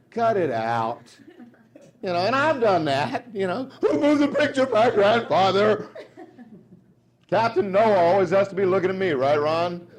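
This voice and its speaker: A man in his fifties with a Southern accent, giving a folksy, joking live speech to a room of salespeople, swinging between loud and hushed. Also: high-pitched, expressive, unhurried, long pauses. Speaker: motivational sales speaker. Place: dealership meeting room.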